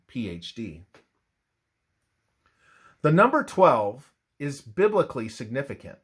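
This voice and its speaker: A man reading a scripted religious narration aloud in a deliberate way, halting between short phrases. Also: medium pitch, husky. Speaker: reader of a religious presentation.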